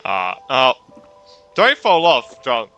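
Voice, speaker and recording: A man's voice: medium pitch, huge pitch swings, slow, bright, forceful tone, muffled recording. A young man giving casual, slangy reaction commentary over a game, often exclaiming and trailing off mid-thought. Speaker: gaming streamer. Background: game music.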